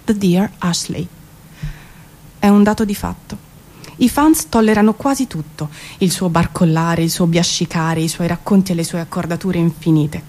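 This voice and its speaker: A woman giving an expressive, wry theatrical reading of literary prose. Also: on the low side, moderate pace. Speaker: performer reading prose poems. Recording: music behind the voice. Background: music.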